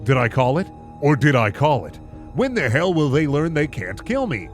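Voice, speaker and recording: A man's voice: a little high, big pitch swings, moderate pace, faint music behind. Man acting a smug, weary slasher killer. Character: weary, smug male slasher killer narrating his murders.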